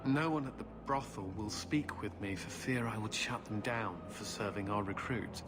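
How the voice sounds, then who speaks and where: An actor playing a stern, earnest knight captain in a fantasy video game, speaking formally and gravely. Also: male voice, fairly low pitch, moderate pace, over music, close to an everyday voice. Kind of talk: video game cutscene acting. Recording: clear ambient game noise behind.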